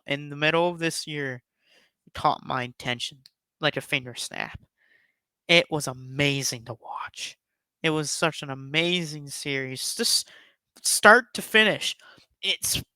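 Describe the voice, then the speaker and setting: A man's voice: on the high side, bright, forceful tone, smooth, dry recording. A man hosting a casual, enthusiastic countdown commentary, speaking in an emphatic, effusive and rambling way. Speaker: YouTube host giving a top ten countdown.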